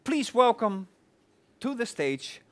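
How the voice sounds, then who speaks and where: A man's voice: extremely high pitch, big pitch swings, slow. A man hosting an event, introducing the next speaker from the stage. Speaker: event host. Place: stage in a theatre hall.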